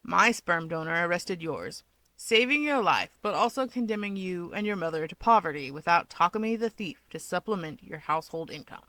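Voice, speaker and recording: A woman's voice: on the low side, animated, moderate pace, pushed and bright, dry recording. A woman voicing a taunting, dismissive young man with a grudge in a fan fiction audiobook. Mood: cutting sarcasm. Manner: sardonic, dismissive.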